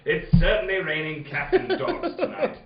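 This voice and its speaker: A man's voice: high-pitched, huge pitch swings, far from the mic, heavy background noise. A man playing a genial, fussy elderly doctor telling a tale in an old-time radio play, easily exasperated. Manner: theatrical, fussy, drawn-out storytelling. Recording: roomy, muffled studio, off the mic.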